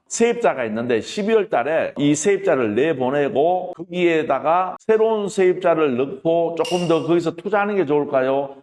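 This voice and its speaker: A man's voice: a little high, darkish tone, in a large hall. A middle-aged man with a stuffy nose from a cold, giving an emphatic lecture-style talk as a real estate auction mentor, full of rhetorical questions. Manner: emphatic, rhetorical questions, ends points with "that is what I mean".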